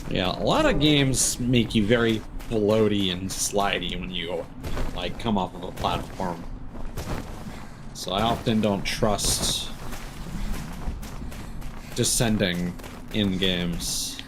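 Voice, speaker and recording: A man's voice: fairly low pitch, slow pace, pauses often, noisy background. A man giving casual live gameplay commentary, thinking aloud in fragments. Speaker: game streamer. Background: game music.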